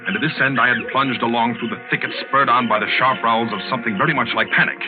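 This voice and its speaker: A man's voice: mid-range voice, fast talker, natural voice. An actor playing a desperate, defiant hunted man with intense, dramatic delivery in 1940s radio drama style. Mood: tense, determined. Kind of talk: 1940s radio acting and first-person dramatic narration. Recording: muffled vintage radio recording, loud music.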